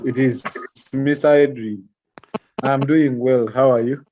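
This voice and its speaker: A man's voice: mid-range voice, steady tone, unhurried, dark tone. A man from Uganda with a Ugandan accent, a teacher giving brief, polite replies on an online call. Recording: muffled noisy video call audio.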